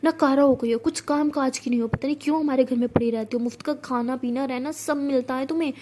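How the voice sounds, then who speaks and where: A woman's voice: a little high, fairly even intonation, fairly quick, background noise, lightly acted. A woman voicing a spoiled, arrogant young woman who bullies her sister, scolding and mixing in English words. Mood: shrill shouting.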